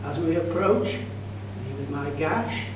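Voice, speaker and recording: Echoey, slow-spoken older male storyteller. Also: a little high. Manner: deliberate, dramatic pauses, mock-grand deadpan delivery. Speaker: speakers club contestant telling a tall tale. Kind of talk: theatrical comic storytelling speech to an audience. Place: indoor function room at a club meeting. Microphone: distant camera microphone. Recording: distant echoey room recording with loud noise and hum.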